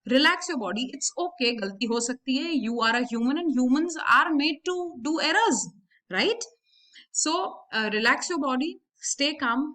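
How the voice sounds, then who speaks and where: A woman with an Indian accent speaks as a coach addressing her viewers. Her voice sits high and has a bright, forward edge. She is emphatic and encouraging, restating key advice for stress. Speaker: body language and communication coach. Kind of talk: instructional talk to viewers, mixing Hindi and English.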